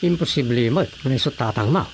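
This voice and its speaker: A man's voice: medium pitch, a little fast, lightly acted. A man playing a chatty, inquisitive village councillor with a Filipino accent in comedic radio drama dialogue. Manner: questioning, conversational. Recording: faint background noise.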